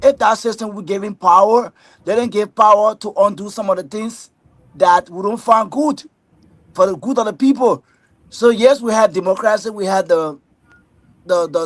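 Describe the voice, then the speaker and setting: A man making a steady, list-like statement as a panel guest. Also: high voice, no echo. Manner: list-like, steady, repetitive phrasing. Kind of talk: prepared statement in a debate.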